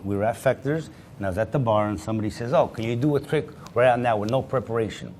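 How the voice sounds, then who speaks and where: A man's voice: mid-range voice, slight background noise. A man who is a magician, telling stories and showing tricks in a casual chat. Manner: storytelling, animated, quick to laugh. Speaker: magician guest.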